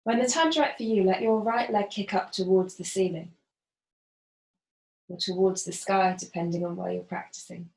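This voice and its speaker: A woman gently guiding a body scan as a yoga facilitator, unhurried and leaving pauses. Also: medium pitch, creaky. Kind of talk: guided body scan meditation instruction. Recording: roomy, echoing voice.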